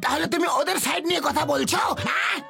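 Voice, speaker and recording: A man voicing a touchy, blustering strongman bully in an animated cartoon dub. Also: very high voice, quick pace, vocal fry, full character voice. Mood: threatening. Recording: loud music behind the voice.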